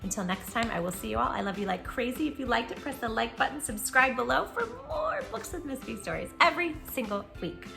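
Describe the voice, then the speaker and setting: A woman reading a picture book aloud to children in an expressive, affectionate, playful way as a storytime host. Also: fairly high pitch, fast, gravelly, hardly any pauses. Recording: roomy echo, faint music behind. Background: faint music.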